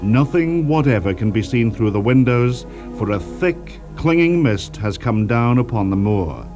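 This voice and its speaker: A man playing the atmospheric narrator of an eerie radio play, deliberate in delivery, on a 1970s archive recording. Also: medium pitch, lively intonation, music in the background, close to an everyday voice. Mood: ominous, measured. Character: male scene-setting narrator of an eerie moorland drama.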